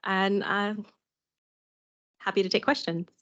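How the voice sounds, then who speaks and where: A woman's voice: medium pitch, fairly even intonation, slow pace, fairly bright tone, dull audio. New Zealand woman presenting figures. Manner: many ums and uhs, reads out figures. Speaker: council staff presenting a report. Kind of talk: meeting presentation.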